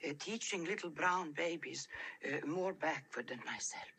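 An actress playing a timid, pious Swedish woman missionary who speaks halting, broken English with a Swedish accent. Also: low voice, a bit bright, a little hiss or hum. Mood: hesitant.

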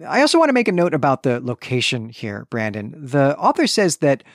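A man's voice: mid-range voice, sing-song, fairly quick, no room sound, clean studio sound. A man co-hosting a podcast, recapping and discussing fiction in a discursive, conversational way with frequent qualifications. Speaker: podcast co-host. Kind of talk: book discussion and story recap.

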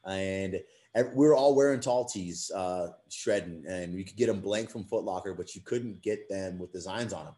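A man in his thirties with a very low voice talks in a friendly, easygoing way, laughing often. He sounds like a guest telling his story. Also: moderate pace, warm tone. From western USA. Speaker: guest, business co-owner. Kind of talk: casual chat.

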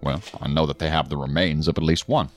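A commanding middle-aged boss of federal agents with a low voice. He speaks formally and with clipped authority, as in a sci-fi audio drama, with music clearly underneath. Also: fairly even intonation, quick pace. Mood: brisk, businesslike. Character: stern, commanding middle-aged male federal agency superior. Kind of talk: science fiction audio drama acting.

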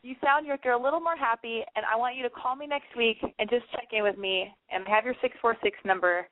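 A fairly high-voiced woman hosting a late-night call-in radio show. She talks bluntly and gushes, swearing freely. The sound is thin and tinny, like a phone line. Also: a little fast. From German family.

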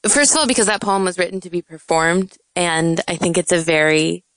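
Young woman, soft and halting, low-pitched, dry close sound. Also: no echo. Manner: soft-spoken, hesitant, many fillers. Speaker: poet. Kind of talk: casual interview chat.

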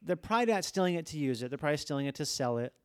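A man's voice: fairly high pitch, expressive, racing, a little mellow, no echo, studio quality. A man chatting casually as a podcast host, informal and reflective, swearing freely. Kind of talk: casual chat.

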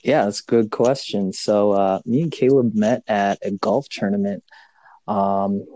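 A man from Kenya, a podcast guest, talking in a relaxed, easygoing way and pausing often to find his words. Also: on the low side, no echo. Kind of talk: casual interview chat.

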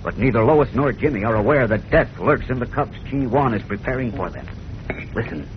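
A man's voice: medium pitch, fairly quick. A man announcing and narrating a 1940s radio serial in a grand, emphatic, dramatic style. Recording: muffled old radio recording, faint noise.

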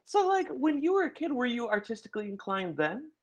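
A man's voice: very high voice, sing-song, brisk, highs cut off. A man hosting a podcast, joking and self-deprecating, with hesitant fillers as he leads a casual interview. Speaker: podcast host.

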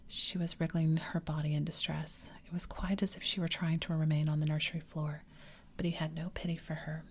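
A woman reading a classic children's story aloud in a gentle, unhurried whisper. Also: sits low, little pitch movement, breathy edge. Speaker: reader.

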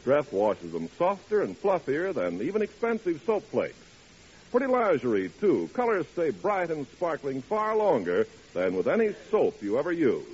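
A man's voice: fairly high pitch, huge pitch swings, moderate pace, a little mellow, breathy edge. A man announcing on 1940s radio, with polished, emphatic commercial salesmanship. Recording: muffled, hissy 1940s radio recording.

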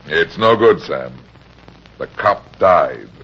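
Hard-boiled detective, low voice, terse, grave, dull vintage radio recording. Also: male voice, animated, takes it slowly, breathy edge, heavily acted. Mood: cool, stern. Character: weary, hard-boiled private detective narrating his case. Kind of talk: 1940s radio detective acting.

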